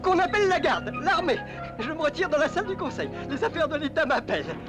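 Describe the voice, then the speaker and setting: A high-voiced, pompous little king, whose pitch lurches wildly up and down. He stutters and blusters in the style of an old cartoon, over background music. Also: big pitch swings, fast talker. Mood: flustered, blustering. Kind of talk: 1930s animated film dub. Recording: old film soundtrack with music behind.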